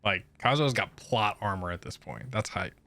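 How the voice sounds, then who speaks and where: Male gaming YouTuber, fairly low voice, laid-back slangy reactions with faint music behind. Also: sing-song, normal speed, gravelly, pushed and bright. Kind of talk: casual game reaction commentary.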